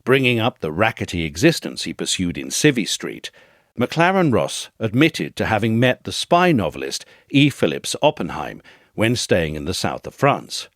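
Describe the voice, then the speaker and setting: A man narrates a literary essay in a lowish, widely varied voice, shaping each phrase with measured, articulate care. The recording is dry and close, with no room sound. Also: sing-song, a bit bright, no room sound. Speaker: audio essay reader.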